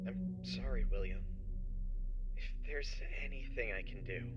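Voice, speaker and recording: An actor playing a gentle, concerned business partner and friend, speaking politely and carefully in a phone-call audio drama. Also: male voice, mid-range voice, very animated, a little slow, heavy background noise. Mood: sorrowful. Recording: dull lo-fi audio over music.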